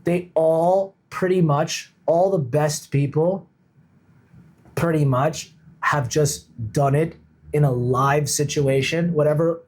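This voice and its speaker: A man's voice: on the high side, steady tone, slow, a little mellow. A man talking casually as an interview guest, explaining his points informally and emphatically like a coach. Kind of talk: casual conversational interview talk.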